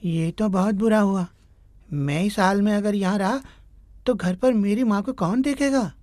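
A woman voicing an earnest young man, a caring son, in an animated story. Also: medium pitch, a little slow, dry recording, studio quality, lightly acted. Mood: concerned. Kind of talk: Hindi animated moral story acting.

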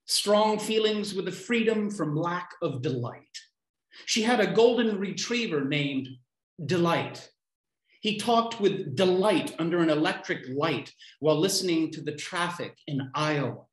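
A man in his fifties reading his poems aloud on a video call from home, declaiming short lines with deliberate pauses. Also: a little high, lively intonation. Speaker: poet reading own poems. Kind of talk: live poetry reading. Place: small room at home. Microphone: computer mic on a video call. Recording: roomy, echoey home video-call sound.

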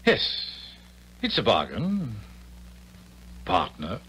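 An actor playing a glib, callous swindler who poses as a gentleman, in a 1970s radio drama. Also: fairly low pitch, huge pitch swings, extremely slow, breathy edge, exaggerated voice. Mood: sly, calculating. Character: sly, callous male swindler posing as a gentleman. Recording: faintly noisy 1970s radio recording.

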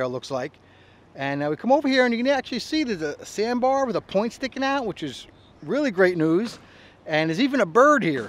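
A middle-aged man filming himself at arm's length on a boat outdoors, talking casually with fillers. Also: high voice. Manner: quick, chatty, many fillers. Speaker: co-host. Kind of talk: casual fishing vlog. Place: outdoors on a small boat on open water. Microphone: selfie camera at arm's length.

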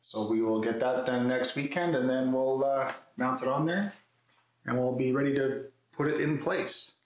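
A man's voice: mid-range voice, steady tone, normal speed. Man in an echoey garage workshop. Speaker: woodworking video host. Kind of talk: casual build walkthrough, explaining to camera. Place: concrete-floored garage workshop, indoors. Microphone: camera mic a few metres away. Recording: echoey garage, faint background noise.

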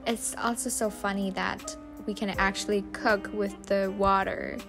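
Slow, soft-spoken young woman narrating. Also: medium pitch, level tone. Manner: soft, slow and unhurried, non-native phrasing. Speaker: vlogger. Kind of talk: reflective voice-over narration. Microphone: studio voice-over mic. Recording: music bed underneath.

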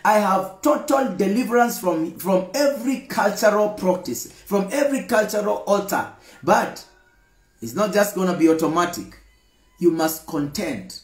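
A man's voice: sits high, reverberant. A Kenyan man in his thirties preaching a daily devotional with emphatic, repetitive phrases and lots of gestures, filmed close up on a phone camera indoors. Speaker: pastor. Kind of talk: livestreamed daily devotional sermon. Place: indoor room with screen and flowers.

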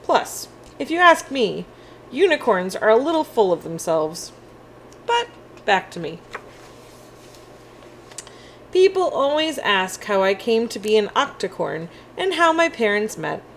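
A quirky little octopus-unicorn, read aloud by a woman with very swoopy, animated pitch. The storybook creature is friendly and a touch lonely, and there is clear room noise behind. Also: unhurried. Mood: chatty, quirky. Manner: animated, childlike storybook delivery. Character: friendly, quirky, slightly lonely young octopus-unicorn picture-book character.

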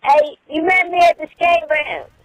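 A man's voice: extremely high pitch, fairly even intonation, unhurried, fairly bright tone, no room sound. A man making a prank phone call, voicing an eager, whiny teenage skater boy. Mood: eager, insistent.

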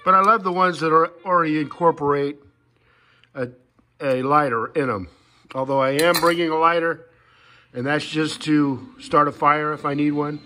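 Male hiker, slightly high voice, folksy and matter-of-fact, talking through gear off the cuff at home into a phone. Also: fairly even intonation, moderate pace, pushed and bright, soft background music. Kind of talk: casual unscripted gear walkthrough. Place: indoors in a home living room. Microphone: handheld phone camera, speaker behind it.

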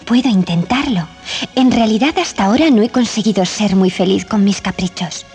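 A woman's voice: medium pitch, moderate pace, darkish tone, natural voice. Woman acting a poised young Castilian princess. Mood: softened. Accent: Castilian Spanish. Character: proud young princess, softening. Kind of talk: children's storybook dramatization. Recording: 1980s cassette, music behind.

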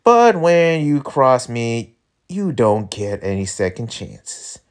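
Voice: medium pitch, sing-song. A performer voicing a menacing, mocking cowboy with a folksy drawl. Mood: cold, menacing. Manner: slow, folksy, taunting drawl.

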